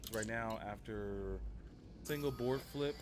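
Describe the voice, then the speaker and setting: A man with a fairly low voice talks through his gameplay for a livestream. His delivery is casual and halting, as if thinking aloud while he plays. There is clear noise behind him on the recording. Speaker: game livestreamer. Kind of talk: casual gameplay commentary and walkthrough. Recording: clearly noisy home stream audio.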